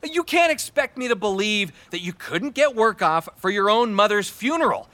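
A man's voice: sits high, big pitch swings, moderate pace, sharp tone, no echo, exaggerated voice. An actor playing a responsible, bitter middle-aged father who scolds and argues in a family audio drama. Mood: bitter, scolding. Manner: scolding, argumentative. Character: responsible, bitter, exasperated father feuding with his brother.

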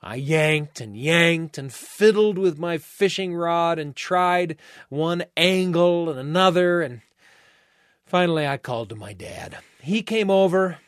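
A man hosting a radio storytelling show, narrating a personal tale in a measured, expressive way with deliberate pauses. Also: a little high, clean tone, no room sound, studio quality.

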